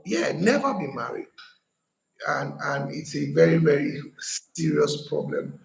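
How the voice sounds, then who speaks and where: A man's voice: a little high, measured pace, vocal fry, roomy sound, low-quality recording. A man hosting a casual live-stream chat, with a playful, hyping manner. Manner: animated, playful hype.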